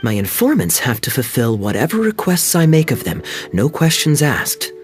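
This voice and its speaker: An actor playing a sly, charming young knight and playful schemer in a video game cutscene, speaking in a smooth, teasing, knowing way. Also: male voice, medium pitch, big pitch swings, normal speed, natural voice. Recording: faint game music behind.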